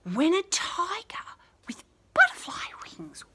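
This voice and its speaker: A woman with an Australian accent reading a picture book to young children, adding chatty, affectionate asides in an expressive way. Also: extremely high pitch, slow pace, in a whisper. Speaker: children's storyteller and teacher.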